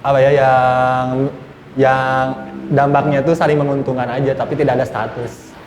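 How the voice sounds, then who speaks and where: A man's voice: medium pitch, little pitch movement, a little hiss or hum. Thoughtful twenties male student, echoey room. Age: 20s. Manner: thoughtful, measured. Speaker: university student. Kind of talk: casual interview answers. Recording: echoey room with long reverb.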